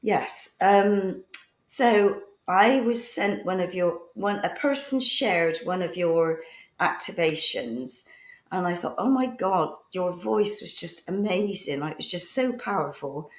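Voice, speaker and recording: A woman with a husky voice after a cough co-hosts a radio interview, asking chatty, rambling questions. Her pitch sits on the low side. Her voice echoes as if she is in a bare room. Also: lively intonation, slow pace. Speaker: co-host. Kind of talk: casual interview hosting.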